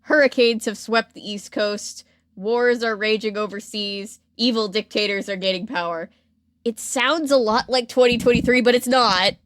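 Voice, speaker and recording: A woman's voice: fairly high pitch, steady tone, moderate pace, no echo. A woman hosting a podcast, chatting casually and informally about pop culture history with wry, jokey asides. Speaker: podcast host.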